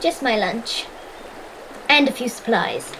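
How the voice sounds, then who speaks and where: A woman's voice: fairly high pitch, very animated, slow pace, noisy background, exaggerated voice. A woman playing an adventurous young girl with an Irish accent in a fairy-tale audio drama. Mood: polite.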